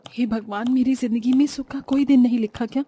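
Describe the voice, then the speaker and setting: A woman's voice: fairly high pitch, steady tone, brisk, dark tone, breathy edge. A woman voicing an overworked, long-suffering young housewife in a cartoon moral story, plaintive and dutiful. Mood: weary, complaining.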